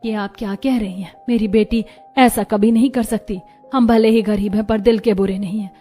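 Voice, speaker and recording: A woman's voice: medium pitch, steady tone, normal speed, dark tone, soft background music, close to an everyday voice. A woman playing a humble, dignified mother of a poor family in a cartoon story, earnest and polite. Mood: earnest, hurt.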